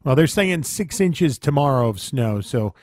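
A man's voice: medium pitch, expressive, a little slow, darkish tone, no echo. A man co-hosting a game show podcast, opening with upbeat energy and chatting casually. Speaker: podcast co-host. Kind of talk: casual chat.

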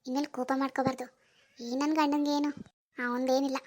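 High-voiced, scheming young wife played by a woman. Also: level tone, a little fast, darkish tone, natural voice. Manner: sly muttering alone, whiny playacting to others. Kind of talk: amateur domestic drama acting.

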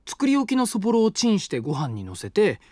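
A gentle young student cook. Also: male voice, sits high, huge pitch swings, quick pace, no echo, clean studio sound, exaggerated voice. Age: young adult. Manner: soft, polite, patient explaining. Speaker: college student neighbor who cooks. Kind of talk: studio audio drama. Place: dry studio.

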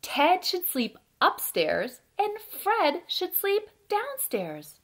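A woman's voice: very high voice, wildly swooping pitch, very slow, a bit bright, voice pushed up, heavily acted. A woman voicing a helpful, matter-of-fact bird from a storybook, giving advice with confident emphasis.

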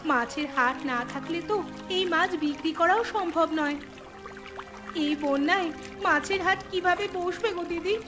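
A clever young village woman in a cartoon. Her voice is very high, bright and earnest. Clear music plays behind her. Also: normal speed. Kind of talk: cartoon voice acting.

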